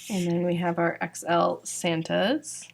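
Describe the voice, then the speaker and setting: A woman's voice: on the low side, little pitch movement, takes it slowly, creaky, slight background noise. A young woman from Georgia, chatty and full of "like" and "um," walking through her shop's new sticker releases, her voice slightly worn. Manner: chatty, fillers like "like" and "um". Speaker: sticker shop owner presenting new releases. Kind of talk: casual product walkthrough.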